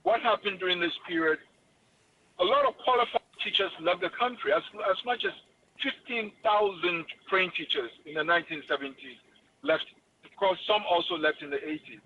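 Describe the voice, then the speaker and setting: Ghanaian guy lecturing hard, kinda high voice, muffled radio sound w/ faint hiss. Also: normal speed. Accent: Ghanaian English. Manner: emphatic, lecturing. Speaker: guest expert. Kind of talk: interview on education.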